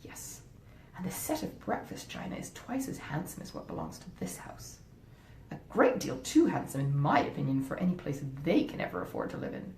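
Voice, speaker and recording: A grasping, genteel wife from a storybook classic, voiced by a woman reader, pressing her case with smug insistence. Her pitch swoops freely while the tone stays soft-edged and mellow. The room adds audible echo. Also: very animated, moderate pace. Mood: resentful. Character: grasping, self-justifying married gentlewoman, coolly persuasive. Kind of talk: dramatized audiobook reading of a classic novel. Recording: roomy echo, faint rumbling noise.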